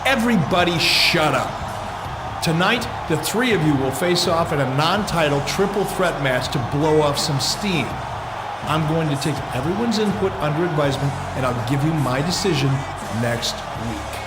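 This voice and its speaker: A man's voice: fairly high pitch, expressive, normal speed, natural voice. A man playing a stern, commanding general manager making formal rulings in a wrestling video game. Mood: commanding, indignant. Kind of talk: arena announcement in a wrestling game cutscene. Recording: echoey arena microphone, loud music behind.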